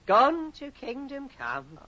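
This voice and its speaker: An actor playing a chatty, officious solicitor's clerk in a radio horror drama, blunt but pitying. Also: male voice, very high-pitched, wildly swooping pitch, takes it slowly, pushed and bright, slight background noise, exaggerated voice. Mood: gossipy, pitying. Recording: dull, lo-fi vintage radio recording.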